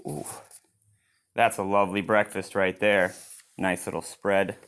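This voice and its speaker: A man reads a children's storybook aloud in an expressive manner, adding his own exclaiming asides. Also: deep voice, a little slow, smooth. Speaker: storybook reader.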